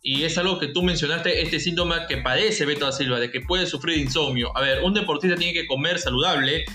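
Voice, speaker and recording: A man's voice: on the high side, brisk, bright, forceful tone. A man from Peru hosting a sports talk show, giving firm, opinionated commentary on football. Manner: opinionated, emphatic, uses Peruvian sports slang. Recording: echoey room with faint music.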